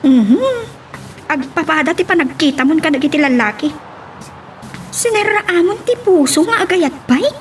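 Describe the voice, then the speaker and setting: A woman's voice: sits high, expressive, normal speed, soft background music. A woman playing a teasing young confidante in an Ilocano audio drama.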